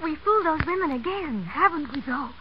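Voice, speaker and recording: A woman's voice: sits high, animated, fairly quick. A woman playing a gleeful, gossipy talking hand in a 1930s radio commercial. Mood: gleeful, smug. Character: gleeful, vain talking female hands. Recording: noisy, muffled 1930s radio broadcast.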